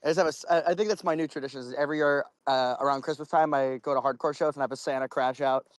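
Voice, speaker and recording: A man's voice: medium pitch, fairly even intonation, rapid-fire, dry recording. A man chatting casually in a debate, rambling and swearing freely.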